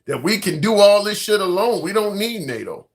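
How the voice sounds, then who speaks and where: A man's voice: sits high, sing-song, moderate pace. Rambling livestream host, a man. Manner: talks to viewers and callers directly, rambling and emphatic, swears casually. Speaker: livestream political call-in host. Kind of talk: casual call-in talk show.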